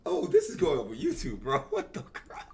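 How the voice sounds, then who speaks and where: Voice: mid-range voice, huge pitch swings, normal speed, gravelly, darkish tone. A streamer giving casual, slangy, exclaiming commentary while reacting to a video game.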